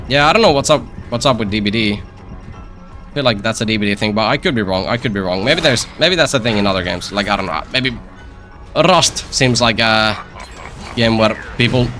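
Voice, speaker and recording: Bright-voiced man rambling over a game. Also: medium pitch. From Finland. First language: Finnish. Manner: rambling, self-deprecating, joking asides, repeats phrases. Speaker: game streamer. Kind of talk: casual gameplay commentary.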